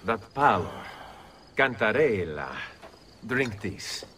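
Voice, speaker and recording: Slow, solemn physician. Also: male voice, on the low side, very animated, fairly bright tone, a little hiss or hum, full character voice. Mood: calm authority. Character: calm, solemn male physician. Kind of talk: dramatic video game voice acting.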